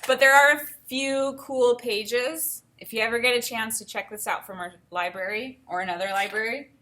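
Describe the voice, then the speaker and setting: A woman teacher giving an expressive read-aloud for children, with enthusiastic explanatory asides. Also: fairly high pitch, normal speed. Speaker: teacher reading a picture book aloud.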